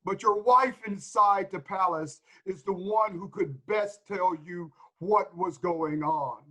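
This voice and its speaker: High-voiced, reluctant old shepherd played by a man. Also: slow, pushed and bright, full character voice. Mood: reluctant, fearful. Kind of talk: theatrical stage reading of Greek tragedy.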